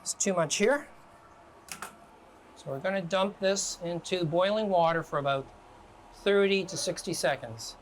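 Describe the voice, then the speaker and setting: A man from Canada working as a guest chef, talking relaxed and step by step through a home cooking demonstration in a kitchen. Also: high-pitched, a little slow, pauses often, slight background noise.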